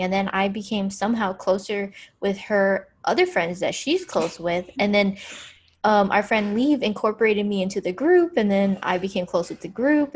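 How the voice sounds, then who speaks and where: Podcast co-host: woman, early 20s, from Hawaii, easygoing and chatty, mid-pitched. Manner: laid-back, chatty, laughs often. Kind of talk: casual chat.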